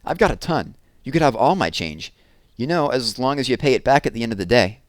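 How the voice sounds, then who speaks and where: A man's voice: medium pitch, animated, fairly quick, dry recording, voice pushed up, close to an everyday voice. A man voicing an eager young girl who pleads and insists in a raised voice. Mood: insistent.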